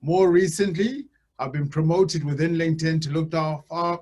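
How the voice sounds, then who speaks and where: A man from Zimbabwe speaking as the guest on a webinar, with an upbeat, energetic manner over a video call. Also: on the high side, moderate pace. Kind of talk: webinar presentation.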